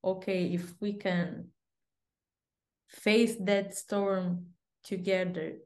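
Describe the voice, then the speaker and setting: A woman's voice: mid-range voice, flat delivery, very slow, a little mellow. A woman guest sharing a personal story in a reflective way, often repeating words for emphasis. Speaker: guest, bride-to-be. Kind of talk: casual storytelling.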